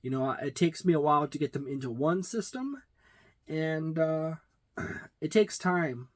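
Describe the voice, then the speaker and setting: A man in his forties giving a casual, unscripted product review with halting, deliberate phrasing. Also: fairly high pitch. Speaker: tabletop game reviewer. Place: small indoor room lined with shelves of games.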